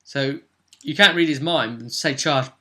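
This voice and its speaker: A man's voice: mid-range voice, a little slow, pushed and bright. A man talking casually through a tabletop roleplaying recap as game master, thinking aloud and checking with tag questions.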